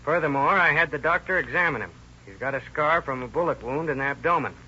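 A persistent, hard-boiled male police sidekick in a vintage radio play. His questioning is clipped and very forceful, on a dull, faintly noisy recording. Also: a little high, animated, fast talker. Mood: matter-of-fact. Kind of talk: 1930s radio acting.

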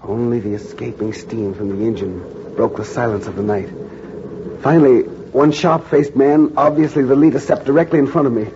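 A man narrating as a grave, measured reporter. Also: medium pitch, fairly even intonation, heavy background noise, close to an everyday voice. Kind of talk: 1940s radio drama narration. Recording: dull 1940s radio broadcast recording with music.

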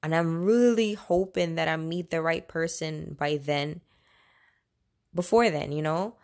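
Woman, late twenties, low voice, podcast host, casual monologue, candid, opinionated, conversational. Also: unhurried, a little mellow. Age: late 20s. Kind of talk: casual personal monologue on faith.

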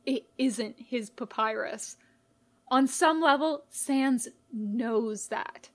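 Female fiction narrator, very unhurried, slightly high voice, dramatic pauses. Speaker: podfic reader.

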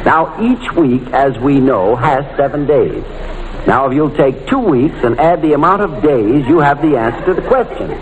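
An actor playing a pompous, touchy know-it-all in an old radio comedy, long-winded and easily indignant. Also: male voice, medium pitch, lively intonation, moderate pace, highs cut off, close to an everyday voice. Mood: lecturing. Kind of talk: 1940s radio comedy acting. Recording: faintly noisy old radio recording.

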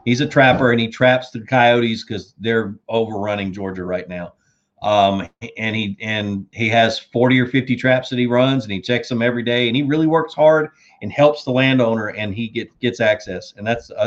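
A man hosting a casual fishing chat, reading viewer questions and answering in a relaxed, friendly way, slightly hoarse as he loses his voice. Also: mid-range voice, normal speed, dull audio. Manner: laid-back, says dude and man, reads viewer comments aloud. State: losing his voice.

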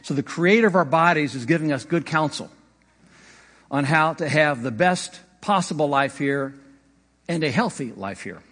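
Male pastor, conversational sermon, a touch high-pitched, echoing large hall. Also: lively intonation, moderate pace, a bit bright. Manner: conversational preaching with slips and light laughs. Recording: long hall reverb.

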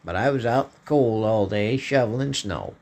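A man with a hoarse voice, hosting a political commentary podcast in a slow, halting way, often clearing his throat. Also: lowish voice, expressive, a little mellow. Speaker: podcast host.

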